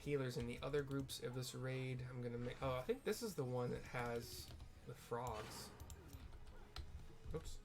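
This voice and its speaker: A man's voice: medium pitch, a little slow. A man giving casual, rambling gameplay commentary, explaining and describing what happens as he plays. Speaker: video game streamer and narrator.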